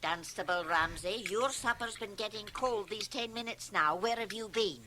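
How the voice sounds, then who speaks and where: An actress playing a capable, strict, brisk small-town mother in a radio drama. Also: medium pitch, close to an everyday voice. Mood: stern. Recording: slightly noisy old cassette radio drama.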